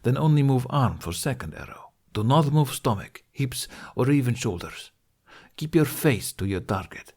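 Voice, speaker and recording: A man voicing a stern, assured horseman mentor in an audiobook, giving deliberate, clipped instructions in formal phrasing. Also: medium pitch, animated, a little mellow, lightly acted. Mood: commanding. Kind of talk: audiobook character acting.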